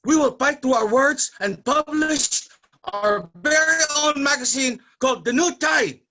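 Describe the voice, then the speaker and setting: An actor playing an earnest, impassioned Filipino labor organizer in a staged reading, declaiming to fellow workers. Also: male voice, extremely high pitch, unhurried, pushed and bright, full character voice, low-quality recording. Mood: earnest, determined.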